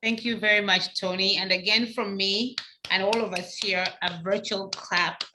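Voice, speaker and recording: A woman's voice: medium pitch, fairly even intonation, normal speed, sharp tone, a little hiss or hum, poor audio. A woman whose first language is Swahili, hosting and closing a webinar over a video call in an upbeat way. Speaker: webinar host.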